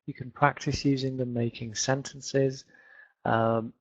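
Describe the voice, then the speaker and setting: Man in his 30s from Leeds with a Northern English accent, English teacher on a webinar, unhurried, dry close sound. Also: medium pitch, creaky, dry recording. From England. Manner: chatty, repeats words, many fillers. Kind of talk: online webinar lesson. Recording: dull lo-fi webinar audio.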